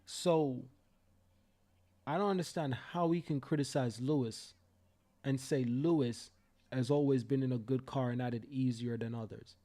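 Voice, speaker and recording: A man's voice: mid-range voice, normal speed, mellow. Fiery Jamaican man ranting live. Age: young adult. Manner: fiery, profane ranting with repetitions. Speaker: livestream host. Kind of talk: heated live commentary.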